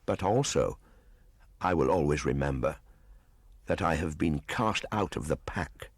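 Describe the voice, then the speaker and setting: A man's voice: extremely low pitch, animated, a little slow, breathy edge, no room sound, clean studio sound, heavily acted. A man voicing a proud, curious young boy raised by wolves in a storybook reading. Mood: earnest, eager. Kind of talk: storybook audiobook acting.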